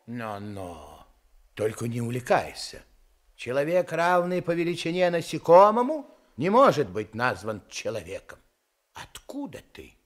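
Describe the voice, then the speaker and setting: A man's voice: medium pitch, huge pitch swings, unhurried. An actor playing a haughty, curious giant king, pondering and exclaiming in a theatrical radio play. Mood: sceptical, amazed.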